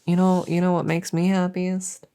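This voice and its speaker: Woman playing a low, soft-spoken, nervous monster girl. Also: completely flat delivery, moderate pace, clean tone, lightly acted. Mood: hesitant, affectionate. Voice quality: soft, often slipping into whisper. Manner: rambling, nervous, stammering and repeating herself. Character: anxious, overworked, friendly female monster under the bed. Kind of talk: whispery comedic ASMR audio roleplay.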